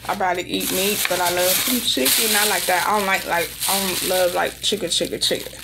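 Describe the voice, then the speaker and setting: Irritated woman venting over loud background noise. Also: fairly low pitch, fairly even intonation, a little fast, gravelly. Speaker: vlogger. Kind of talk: casual rambling vlog chat. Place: room in an apartment.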